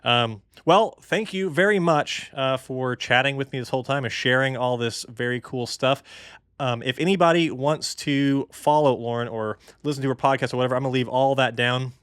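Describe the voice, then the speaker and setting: A man's voice: medium pitch, normal speed, fairly bright tone, clear, resonant tone, no room sound, studio quality. A man hosting a podcast, talking casually with many hesitant fillers. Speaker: podcast host. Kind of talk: casual interview chat.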